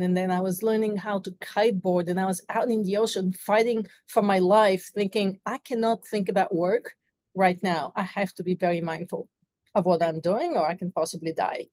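A woman's voice: mid-range voice, darkish tone, no echo. A middle-aged woman from Bulgaria with a Bulgarian accent, telling her story earnestly and reflectively as a podcast guest. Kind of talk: interview conversation.

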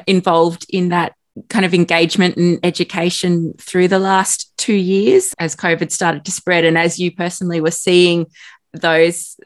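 A woman interviewer asking thoughtful, probing questions. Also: on the low side.

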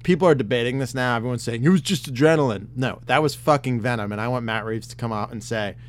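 A man co-hosting a casual podcast chat, talking in an excitable, rambling way with lots of fillers and swearing, his voice getting worn. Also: mid-range voice, quick pace, slight background noise. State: losing his voice. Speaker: podcast host.

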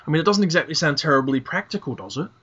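A man hosting a podcast over an internet call, chatting casually about books in a halting way, often repeating and rephrasing himself. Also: on the high side, lively intonation, fairly bright tone, husky, muffled recording. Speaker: podcast host. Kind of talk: casual literary discussion.

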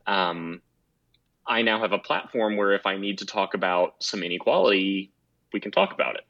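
A man with a deep voice, a guest in a casual interview, speaking in a measured, reflective and frank way. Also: lively intonation, vocal fry, fairly bright tone, no echo, dull audio. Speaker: guest, motorsport site founder.

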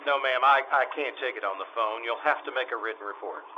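A man's voice: medium pitch, fast talker, sharp tone, dull audio, slight background noise. A man playing a businesslike, patient police detective in an old-time radio drama, with a clipped, dry, matter-of-fact delivery.

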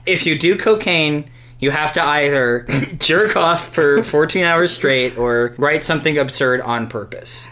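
A man's voice: on the high side, a little slow, bright, forceful tone. A man co-hosting a podcast in casual comedic chat, rambling along with swearing and hyperbole. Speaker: podcast co-host.

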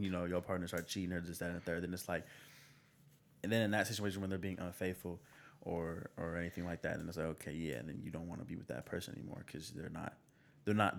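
A man's voice: low-pitched, gravelly. A young unmarried man co-hosting a casual podcast, talking in a rambling, hesitant way. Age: young adult. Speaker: podcast co-host. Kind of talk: casual chat.

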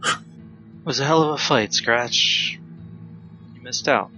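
A man playing a slow, strained dying fighter. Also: mid-range voice, bright, forceful tone. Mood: spent, proud. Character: dying male fighter, proud and grinning to the end. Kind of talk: audio drama acting. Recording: dull lo-fi sound under music.

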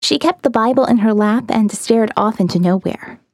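A woman's voice: on the high side, moderate pace, darkish tone, no echo. A woman reading scripted first-person dramatic narration as the narrator of an audio novel.

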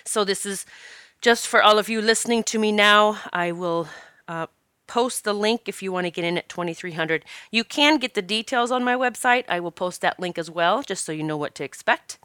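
A woman hosts her podcast in a chatty, encouraging way, her voice a little stopped up from allergies. The tone is bright and smooth. The sound is dry and close, with no room behind her. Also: medium pitch, moderate pace, no room sound. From Northeast Pennsylvania. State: congested from allergies. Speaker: podcast host. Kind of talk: casual podcast talk.

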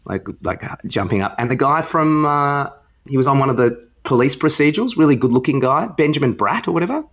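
A man's voice: mid-range voice, expressive, brisk, a bit bright, muffled recording. A man co-hosting a podcast, chatting casually and comically in a rambling, blunt way, swearing and acting out quotes as he recaps an awards show. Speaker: podcast co-host.

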